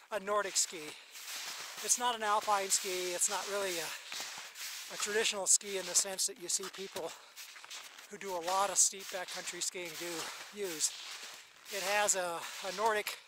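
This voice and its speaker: A middle-aged man on skis in a snowy meadow, casually explaining his gear in a relaxed way with fillers, talking to an action camera at arm's length. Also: sits high, noisy background. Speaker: backcountry skier reviewing gear. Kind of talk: casual outdoor vlog talk. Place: open snowy meadow outdoors. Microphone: action camera held at arm's length.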